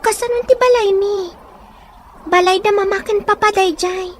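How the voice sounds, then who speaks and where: A woman's voice: very high-pitched, steady tone, normal speed. A woman playing a poor, resilient young orphan in an audio drama, plain-spoken and caring, with a northern Philippine accent. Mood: sorrowful. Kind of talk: acted audio drama dialogue. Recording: faint background noise.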